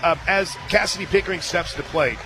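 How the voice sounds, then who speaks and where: A man calling softball play-by-play on the radio, chatting casually between pitches and lifting with excitement on big plays. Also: fast, background noise. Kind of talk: live softball commentary with casual booth chat. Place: stadium radio booth.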